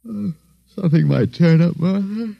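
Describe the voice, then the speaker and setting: An actor playing a weary, stubborn human captive with a wry, hesitant manner in a 1950s radio drama. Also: male voice, sits high, moderate pace, gravelly, no echo, highs cut off, heavily acted. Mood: weary, subdued.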